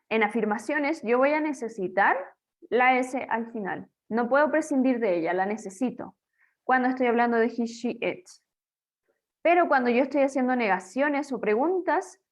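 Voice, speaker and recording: A woman teaching English grammar in Spanish, patiently explaining the rules and asking and answering her own questions. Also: fairly high pitch. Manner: slows down to model English example sentences. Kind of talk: recorded grammar lesson.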